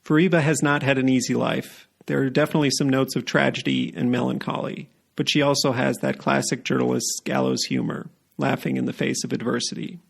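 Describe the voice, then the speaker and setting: A man's voice: mid-range voice, fairly even intonation, fairly quick, creaky, few pauses, slightly rough. A man hosting a podcast, reading a scripted introduction and then asking questions in an earnest, carefully paced way. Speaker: podcast host.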